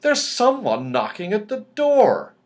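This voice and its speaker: A man voicing an eager, excitable round-faced magical fellow in a children's storybook, speaking emphatically. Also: sits high, measured pace, pitched above the performer's own voice, full character voice. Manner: emphatic, exclamatory.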